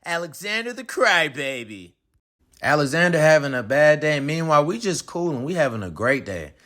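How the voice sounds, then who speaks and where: A man's voice: a little high, very animated, moderate pace, sharp tone, pitched below the performer's own voice. A man voicing a smug, taunting brother in a storybook read-aloud, with sneering, teasing delivery. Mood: smug mocking. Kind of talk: dramatized children's picture book read-aloud.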